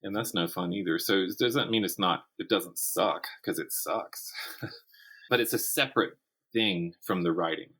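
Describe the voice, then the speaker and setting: A man chatting casually as an interview guest, telling stories in animated, stop-start phrases. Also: sits low, moderate pace, slightly rough. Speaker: podcast guest, writer and writing teacher.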